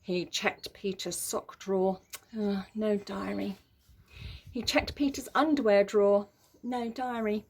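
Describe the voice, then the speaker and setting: A woman's voice: mid-range voice, fairly even intonation, measured pace, slightly rough. A woman narrating a children's story in a dramatic, emphatic way. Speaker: story reader.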